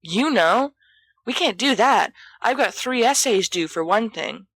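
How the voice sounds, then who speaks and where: A woman's voice: on the high side, lively intonation, unhurried, fairly bright tone, dry recording, voice pushed up, full character voice. A woman voicing a confident, bossy teenage schoolboy who thinks aloud casually in an audiobook story. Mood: thinking aloud. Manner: casual, half-finished musing sentences.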